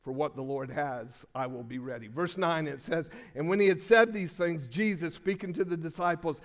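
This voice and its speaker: An older male pastor preaching in a church sanctuary, his slightly high voice ringing with a long reverb. He tells stories in a folksy, conversational way, stumbling and restarting often. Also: moderate pace. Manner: folksy storytelling with many restarts. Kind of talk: sermon with personal story.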